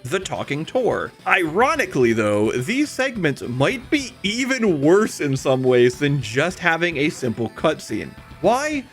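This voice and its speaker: A man's voice: on the high side, very animated, measured pace, few pauses. A man narrating a scripted video essay in an emphatic, conversational way, speaking straight to the viewer. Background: background music.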